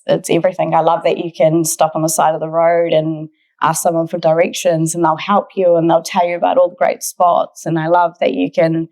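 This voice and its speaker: Podcast guest: New Zealand woman, twenties, slightly low register, earnest, conversational personal storytelling. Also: a little mellow. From Auckland, New Zealand. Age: 20s.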